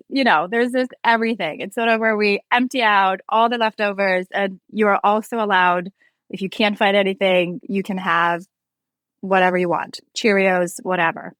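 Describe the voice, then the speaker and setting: A woman's voice: mid-range voice, fairly even intonation, normal speed, fairly bright tone, no echo. Woman from north iceland, forties, candid, reflective, icelandic native, dry close sound. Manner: candid, reflective, trailing restarts. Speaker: guest. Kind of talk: casual interview.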